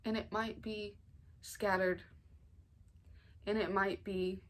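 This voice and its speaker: A young American woman of about nineteen, talking informally and earnestly in a halting way, as in a personal storytime vlog. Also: mid-range voice, flat delivery. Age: 19, nearly 20. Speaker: family vlogger. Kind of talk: personal storytime vlog, casual confessional talk.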